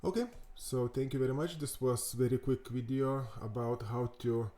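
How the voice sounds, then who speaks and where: A man with a Lithuanian accent hosting a coding tutorial, thinking aloud in a halting way with many fillers and restarts. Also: mid-range voice, fairly even intonation, dark tone. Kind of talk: casual screen-recorded coding walkthrough.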